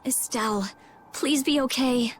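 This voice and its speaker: A woman's voice: high-pitched, unhurried, a little hiss or hum. A woman voicing a brusque, prickly teenage girl scholar who hides her concern, in a video game. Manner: brusque, scolding.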